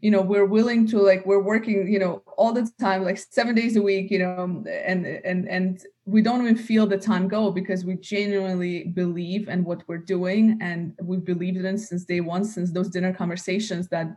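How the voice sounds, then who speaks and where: A chatty woman from Russia, a company co-founder, speaks casually in an interview. She fills her talk with "you know" asides and keeps circling back to repeat herself. Her pitch is middling for a woman. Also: level tone, normal speed, few pauses, mellow.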